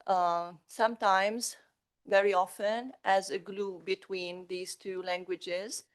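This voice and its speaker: A woman from Romania whose first language is Hungarian, an English teacher, introducing herself slowly on a panel with frequent pauses. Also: medium pitch, steady tone. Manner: slow, measured, pausing with fillers. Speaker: secondary school English teacher.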